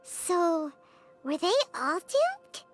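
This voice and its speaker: A tiny fairy sidekick from a video game. The voice is extremely high and childlike, swinging wildly in pitch, talkative and emphatic, with clear music underneath. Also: female voice, huge pitch swings, drawn-out words, full character voice. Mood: matter-of-fact. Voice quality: squeaky, childlike. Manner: refers to self in third person, emphatic. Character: tiny excitable floating fairy companion girl, indignant and talkative.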